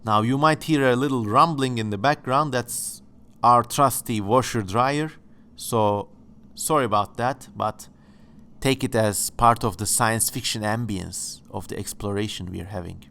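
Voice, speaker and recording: A man speaking English hosts his channel from home, his voice sitting at an ordinary middle pitch. He muses along casually and playfully, with a tongue-in-cheek, joking manner. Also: a little slow, fairly bright tone, clear, resonant tone. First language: Turkish. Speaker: channel host. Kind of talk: casual exploratory commentary.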